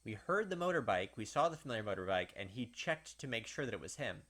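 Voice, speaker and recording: Guy doing a visual novel playthrough, normal pitch, reads lines out n adds blunt animated comments. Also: fast talker. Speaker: gaming video host. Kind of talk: reading visual novel aloud with casual commentary.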